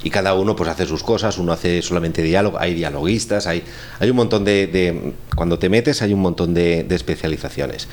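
A man's voice: sits low, brisk, a little mellow. A man from Spain with a Spanish accent, chatting casually as a scriptwriter and teacher in a wry, rambling way. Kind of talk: casual chat on a radio show. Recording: clearly noisy room recording.

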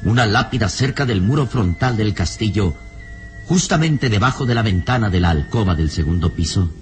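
A man playing the grave narrator of an eerie radio drama, reading florid scripted lines in a deliberate, dramatic way. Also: on the low side, expressive, faint music behind, lightly acted. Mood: grave, poetic. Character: grave, poetic male narrator of eerie tales.

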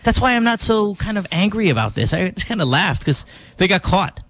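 A man hosting a casual radio panel chat, talking in a joking, rambling, animated way. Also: high-pitched, brisk. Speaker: radio show host.

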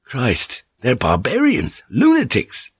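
A man's voice: medium pitch, expressive, normal speed, breathy edge, dry recording, clean studio sound, pitched above the performer's own voice. A man voicing an agitated young sailor in an audiobook story, blunt, shocked and incredulous. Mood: horrified.

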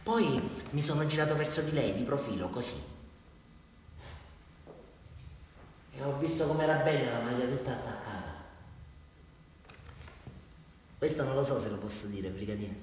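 An actor playing a disturbed, childlike male criminal in a radio drama, rambling and halting through his confession in a southern Italian accent, swinging between hushed and loud. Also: medium pitch, slight background noise, close to an everyday voice. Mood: hushed, dreamy. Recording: distant, very echoey reverberant hall.

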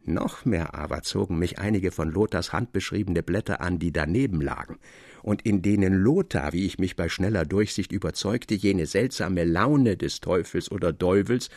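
A man playing a cheerful recovering invalid who tells stories in an expressive, articulate, theatrical way for a literary radio drama. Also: low voice, moderate pace, dry recording, clean studio sound. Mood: playfully teasing. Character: Theodor, cheerful man recovering from illness, enthusiastic storyteller. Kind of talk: literary radio reading with acted dialogue.

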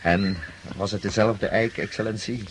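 A man's voice: on the low side, fairly even intonation, moderate pace, a little hiss or hum, natural voice, poor audio. An actor playing a respectful, chatty, folksy coachman serving a nobleman in a radio drama. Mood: amazed, folksy.

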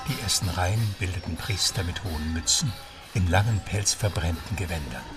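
A man's voice: deep voice, brisk, recorded from a distance, loud music behind the voice. A man narrating a radio play in a literary storytelling style.

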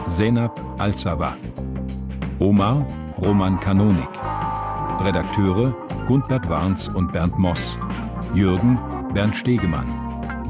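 A deep-voiced man reads scripted radio drama credits as an announcer. His pace is slow, over prominent plucked guitar music.

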